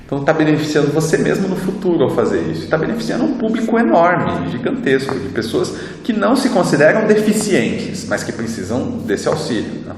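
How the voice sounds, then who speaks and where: A man's voice: a little high, very animated, brisk, hardly any pauses, a crowd in the background. A man with a Brazilian accent gives an informal explanatory lecture as an instructor, in a conversational way with frequent tag questions. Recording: very echoey reverberant room, background noise.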